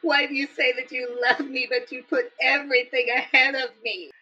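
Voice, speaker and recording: A woman's voice: high voice, level tone, moderate pace, sharp tone, muffled recording. A woman voicing a neglected fiancée who reproaches her busy lover. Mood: hurt. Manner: reproachful, pleading.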